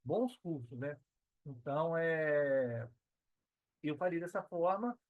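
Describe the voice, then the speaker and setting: Slightly high, dry-sounding Brazilian man, reflective. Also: lively intonation, no echo, phone-quality sound. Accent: Brazilian Portuguese. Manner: halting, reflective, frequent tag questions. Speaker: podcast guest, affiliate marketer. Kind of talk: casual interview answer.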